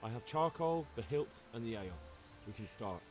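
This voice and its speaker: A man's voice: mid-range voice, expressive, moderate pace, close to an everyday voice. An actor playing an earnest, defiant young man, a blacksmith's son, in a video game. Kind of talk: dramatic video game acting. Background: music.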